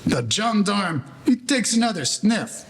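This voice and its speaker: A man's voice: high-pitched, very animated, measured pace, a bit bright, slight background noise. A man narrating a scripted story as the storyteller of a 1940s-style radio play.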